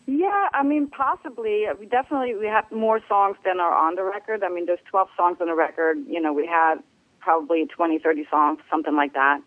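Relaxed woman musician, dry close sound. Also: lowish voice, a little fast, no room sound, poor audio. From Germany. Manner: relaxed, hesitant, many fillers. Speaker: bass player, interview guest. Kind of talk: casual phone interview.

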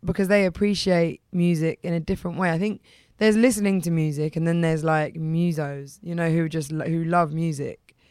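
A woman singer with a husky, rough voice, hoarse today, chatting casually in an interview and saying "like" a lot. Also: sits low, steady tone, a little mellow, no room sound, studio quality. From England. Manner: chatty, repeats "like", quick restarts. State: hoarse from heavy use. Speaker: singer, guest.

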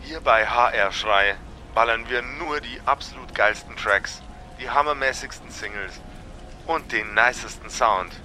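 A man's voice: medium pitch, measured pace, sharp tone, a little hiss or hum. A man doing a brash hyped radio DJ. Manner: hyped slangy announcer patter. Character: loud brash male radio DJ.

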